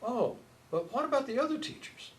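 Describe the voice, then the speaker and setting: A man in his sixties with an American accent, a lecturer thinking aloud in halting phrases as he answers seminar questions. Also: very high-pitched, sing-song. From Chicago, United States. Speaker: lecturer, education researcher. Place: seminar room, at a wooden podium. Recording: noticeable background room noise.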